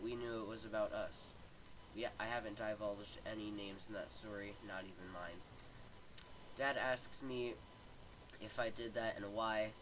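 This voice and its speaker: A man's voice: mid-range voice, background noise. A man casually reading online stories aloud on a home microphone, stumbling over words and stopping to comment. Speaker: story reader.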